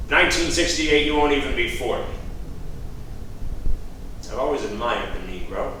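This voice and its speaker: An actor playing a scheming, self-pitying middle-aged politician in a staged play reading, sardonic and grumbling. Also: male voice, mid-range voice, animated, normal speed, pushed and bright, slight background noise. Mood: persuasive. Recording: echoey room from far off.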